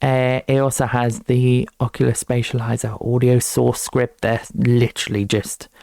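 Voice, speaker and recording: Young man, student developer type, relaxed and fry-heavy, talking viewers casually through a project, unscripted. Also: mid-range voice, moderate pace, no echo, studio quality. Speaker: student game developer presenting own project. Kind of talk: casual unscripted tutorial walkthrough.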